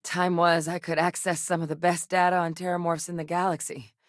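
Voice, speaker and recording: An actress as a low-voiced, earnest military scientist woman. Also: level tone, a little fast, no room sound, studio quality, close to an everyday voice. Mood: earnest, measured. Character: determined, professional military scientist woman in a sci-fi world. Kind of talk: scripted video game character dialogue.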